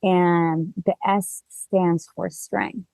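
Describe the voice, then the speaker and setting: Woman guest, Hebrew speaker, purposeful and explanatory; low, mellow voice, dry sound. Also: level tone, extremely slow, creaky, no echo. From Israel. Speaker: guest, business co-founder. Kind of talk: conversational interview.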